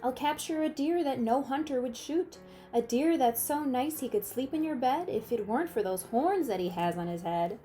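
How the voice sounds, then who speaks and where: Eager boastful young boy, voiced by a woman, singsong storybook verse, soft warm tone, faint music. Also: sits high, animated, normal speed.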